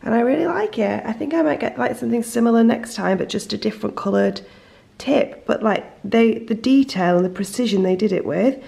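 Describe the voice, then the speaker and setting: Hoarse woman vlogging. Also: fairly high pitch, brisk, warm tone, reverberant. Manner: chatty, rambling, self-deprecating. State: lost voice after a cold, hoarse. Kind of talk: casual chat to camera. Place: at home, indoors.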